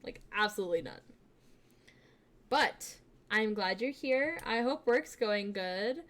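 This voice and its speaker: A young woman streamer chatting casually with her viewers, bubbly and giggly, with lots of fillers, switching between quiet asides and excited greetings. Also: takes it slowly. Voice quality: fairly deep voice for a woman. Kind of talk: casual live-stream chat.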